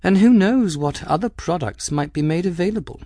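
A man's voice: sits high, animated, moderate pace, dark tone, no echo, studio quality, voice pushed up. A man voicing a poised, scheming aristocratic woman who speaks with cool, cutting mockery. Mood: smooth, persuasive. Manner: poised, cutting, lightly mocking. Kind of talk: audiobook character voice.

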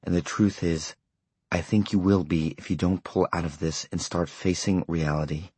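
A man's voice: low-pitched, fairly even intonation, moderate pace, a little mellow, husky, dry recording. A man voicing a furious middle-aged husband with a limp, roaring and bellowing. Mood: quietly stern.

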